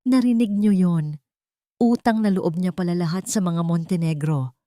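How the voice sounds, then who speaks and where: A woman's voice: fairly low pitch, moderate pace, dark tone, dry recording, clean studio sound, natural voice. A woman voicing a judgmental man gossiping at an eatery in a drama story. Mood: mocking. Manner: judgmental, mocking. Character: gossiping judgmental male diner.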